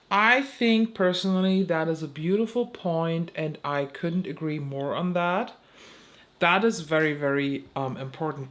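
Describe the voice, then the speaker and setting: A young woman, a beauty creator giving blunt opinions to camera, swearing casually and stumbling over words now and then. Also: lowish voice. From Germany. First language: German.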